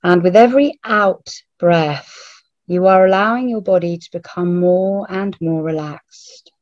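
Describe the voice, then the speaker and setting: A woman leading a guided meditation in a hushed, soothing manner, leaving long pauses between short phrases. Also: on the low side. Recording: roomy, phone-like narrowband audio.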